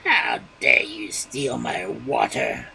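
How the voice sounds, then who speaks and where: A huge, menacing ogre rises out of a golden lake in a storybook tale, voiced by a storyteller. He speaks slowly and heavily, and his calls come out loud and drawn out. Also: mid-range voice, huge pitch swings, vocal fry, a little hiss or hum, exaggerated voice.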